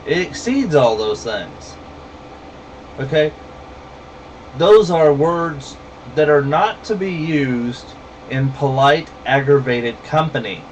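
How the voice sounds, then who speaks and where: A man with a Southern US drawl delivers a blunt, heated rant in a slow, deliberate way. He sits a little back from the microphone. A faint hum runs underneath. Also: a little high, expressive, far from the mic, poor audio. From rural southern United States. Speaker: vlogger. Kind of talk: ranting monologue to camera.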